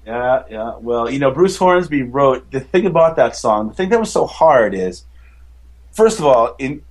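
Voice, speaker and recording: A man with a baritone voice, a musician chatting as a podcast guest, rambling enthusiastically with many fillers and restarts. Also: very animated, normal speed. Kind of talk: casual interview chat.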